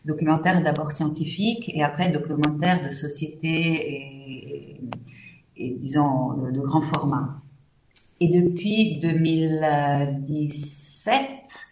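A woman's voice: deep voice, slow, darkish tone, reverberant, a little hiss or hum, low-quality recording. A middle-aged woman from Italy, whose first language is Italian, answering questions in a radio interview, hesitating often with fillers and restarts. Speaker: festival partnerships manager, guest.

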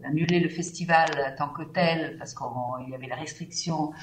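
A woman's voice: low voice, moderate pace, crowd noise. Hesitant Italian woman in an echoey room. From Italy. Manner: hesitant, many fillers and restarts. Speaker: festival partnerships manager, guest. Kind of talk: radio interview.

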